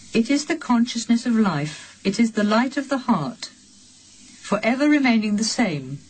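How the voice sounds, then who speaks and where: A woman reading a sacred text as a solemn audiobook, with measured, deliberate phrasing and pauses between phrases. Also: medium pitch, a little mellow, husky, low-quality recording. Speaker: reader of a sacred text translation.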